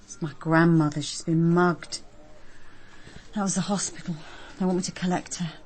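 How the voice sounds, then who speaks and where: An actress plays a businesslike woman police detective in a radio drama. Her voice is fairly low for a woman, with faint noise behind it. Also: fairly even intonation, normal speed, darkish tone, close to an everyday voice. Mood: troubled.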